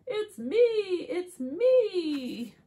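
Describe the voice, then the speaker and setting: A woman voicing gleeful farm animals from a children's picture book, with loud, drawn-out calls and animal noises. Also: very high-pitched, big pitch swings, pitched above the performer's own voice, full character voice. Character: gleeful costumed farm animals revealing themselves. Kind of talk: picture-book read-aloud for young children.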